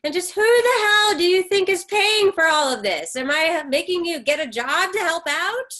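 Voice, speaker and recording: A woman's voice: extremely high pitch, animated, moderate pace, bright, forceful tone, heavily acted. A woman reading the part of an embittered, defensive middle-aged mother in a stage drama, with emphatic, reproachful delivery. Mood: angry, indignant. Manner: emphatic, reproachful, clipped retorts.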